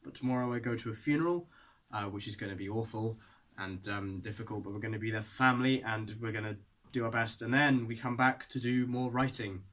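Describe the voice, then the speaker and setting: A man's voice: on the low side, brisk, a bit bright. A young man talking candidly in a casual, unscripted vlog update, rambling earnestly. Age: young adult. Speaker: vlogger.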